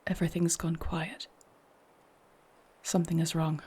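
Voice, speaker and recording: A woman playing a noble warrior princess in a fantasy audio roleplay, speaking solemnly, formally and with deliberate care. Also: low voice, little pitch movement, dark tone, breathy edge, full character voice. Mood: anguished, grave. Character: noble warrior princess, grave, torn, devoted wife.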